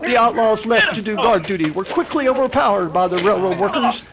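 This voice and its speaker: A man's voice: high-pitched, fairly quick, a crowd in the background. A man delivering dramatic scripted narration in the manner of a 1930s radio adventure.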